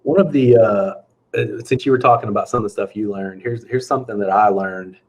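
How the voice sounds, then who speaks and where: A man co-hosting a sports card podcast, chatting in a friendly, halting way. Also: lowish voice, darkish tone, breathy edge. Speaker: podcast co-host. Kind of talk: casual sports card chat.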